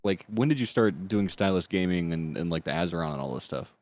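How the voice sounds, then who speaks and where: A man co-hosting a casual podcast, talking fast with a low voice. He asks curious, rambling questions packed with fillers. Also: expressive, darkish tone, no echo, clean studio sound.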